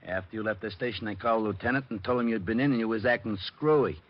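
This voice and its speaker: An actor playing a gruff, slow-witted police sergeant with uneducated grammar in old radio drama style. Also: male voice, fairly low pitch, lightly acted. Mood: grumbling. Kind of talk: 1940s radio drama acting. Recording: muffled old radio recording.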